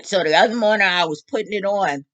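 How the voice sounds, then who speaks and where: Bright-voiced woman in her fifties. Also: medium pitch, fast, no room sound. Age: 50s. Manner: animated, candid, joking. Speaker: vlog host. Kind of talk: casual monologue.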